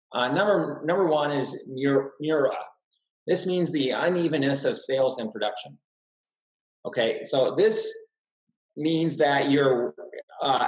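A middle-aged man farmer giving a practical slide talk, with plenty of "uh" fillers and restarts. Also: mid-range voice. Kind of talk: slide presentation lecture. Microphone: webcam on a video call. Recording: roomy echoey webcam audio.

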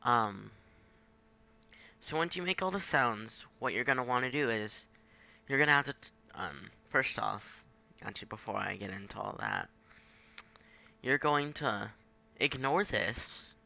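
A man giving an informal, halting software tutorial, thinking aloud as he goes. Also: medium pitch, big pitch swings, vocal fry, dull audio. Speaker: tutorial presenter. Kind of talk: casual screen-capture software tutorial.

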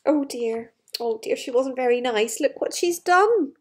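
A woman storyteller with a high, lively voice speaks to small children. She takes her time and breaks off into wondering exclamations about the pictures. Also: measured pace, husky. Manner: exclamatory, wondering, addressing young listeners. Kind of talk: animated picture-book storytelling with asides. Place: bright living room with large glass doors.

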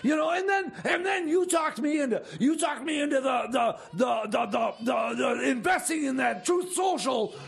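A man's voice: very high voice, lively intonation, moderate pace, creaky, few pauses, raised voice, some chatter behind, heavily acted. A man playing a frantic, whiny, complaining sidekick in improvised radio comedy, stammering as he goes. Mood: indignant, flustered. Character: frantic, whiny sidekick, broke and blaming his partner. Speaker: radio comedy show co-host.

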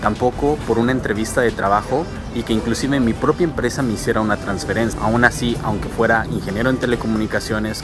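A man talks to a handheld camera held at arm's length. He walks outdoors and explains things in a steady way. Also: fairly low pitch. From Mexico. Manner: steady, explanatory, talking while walking. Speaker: vlogger. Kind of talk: walking vlog explaining immigration. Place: outdoors on suburban streets and a transit station. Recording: music playing underneath. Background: music.

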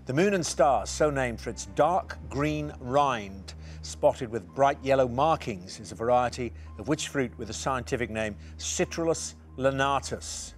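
Brisk middle-aged quiz host. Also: male voice, mid-range voice, faint music behind. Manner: brisk, reads questions clearly. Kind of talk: studio quiz hosting. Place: TV studio.

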